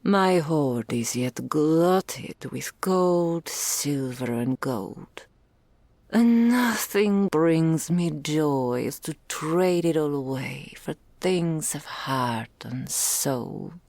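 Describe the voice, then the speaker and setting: A woman's voice: deep voice, animated, drawn-out words, a little mellow, no room sound, heavily acted. A woman voicing a doting, regal female dragon in human form, speaking intimately to the listener in ornate, archaic phrases as an ASMR roleplay. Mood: doting, delighted.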